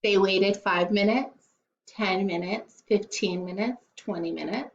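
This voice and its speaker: A woman teacher reading a storybook aloud to children in an expressive way, with playful asides. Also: medium pitch, measured pace, creaky. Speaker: primary school teacher. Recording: echoey, slightly dull room recording.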